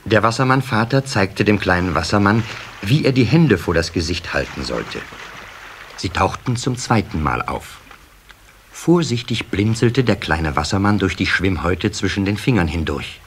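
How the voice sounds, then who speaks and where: A man narrating a children's radio play in a measured storyteller's delivery. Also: low-pitched, lively intonation. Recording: slightly hissy old cassette recording.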